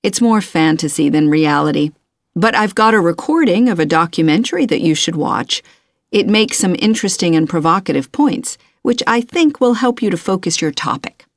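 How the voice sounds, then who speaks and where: A helpful university tutor with a slightly low voice. She explains things in a measured, instructive way, as in a scripted exam dialogue. The recording is dry. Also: lively intonation, no room sound. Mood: helpful, advising. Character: helpful university tutor, woman, advising a student. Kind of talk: scripted listening-test dialogue.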